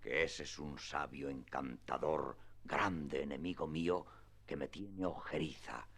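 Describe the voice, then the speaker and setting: A very deep, gravelly old gentleman speaks in a radio play. He is a proud, deluded knight-errant who delivers every phrase with solemn grandeur. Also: measured pace, exaggerated voice. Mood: solemn, brooding. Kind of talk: classic radio drama acting.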